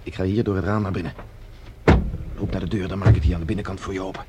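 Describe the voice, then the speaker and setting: Actor as deep-voiced, resolute man on the run. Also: steady tone, fast talker, lightly acted. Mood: tense, focused. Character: resolute, protective male escaped prisoner on Mars. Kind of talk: science fiction radio drama acting. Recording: noisy scene with background ambience.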